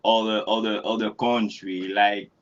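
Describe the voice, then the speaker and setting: A man from Haiti whose first languages are Creole and French, practicing English in a relaxed chat, halting and repeating words and laughing often. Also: on the low side, muffled recording. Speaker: English learner. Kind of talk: casual conversation practice.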